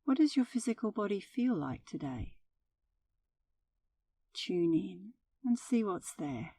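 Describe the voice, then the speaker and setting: Slow, soothing woman guiding meditation. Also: mid-range voice, big pitch swings, slightly rough, no room sound, far from the mic. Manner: soothing, gentle delivery. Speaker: meditation instructor. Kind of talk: guided meditation narration.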